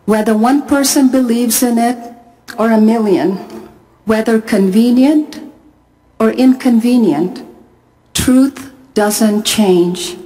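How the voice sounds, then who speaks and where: A middle-aged woman from Pakistan gives a testimony talk at a conference. Her voice sits a little high. She speaks earnestly and measures her phrases, pausing with care. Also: dark tone, rough recording. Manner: measured, earnest storytelling with deliberate pauses. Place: large arena.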